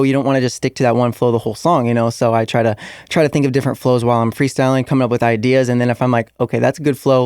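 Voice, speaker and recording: Gravelly-voiced young man chatting casually. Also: medium pitch, a little mellow, no echo, studio quality. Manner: casual, rambling with fillers and restarts. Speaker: musician guest. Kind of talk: relaxed interview answers.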